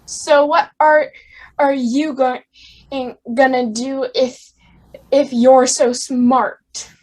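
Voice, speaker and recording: Radio comedy kid, sulky and blunt, high voice, unhurried delivery woman performer. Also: level tone, a little mellow, exaggerated voice, low-quality recording. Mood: challenging. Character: bratty, defiant kid named Freddy with a blowgun. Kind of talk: amateur old-time radio comedy acting.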